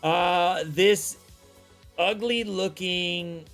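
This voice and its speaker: Male stream host, fairly high voice, excitable, profane, rambling. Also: extremely slow, faint music behind. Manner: excitable, swears freely, repeats himself. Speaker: streamer hosting a guest chat. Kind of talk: loose casual chat. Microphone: desk microphone.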